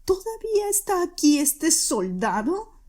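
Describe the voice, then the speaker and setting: A woman's voice: very high voice, big pitch swings, a little slow, a little mellow, pitched above the performer's own voice, full character voice. A woman voicing a frantic, grieving widowed mother who pleads shrilly and desperately.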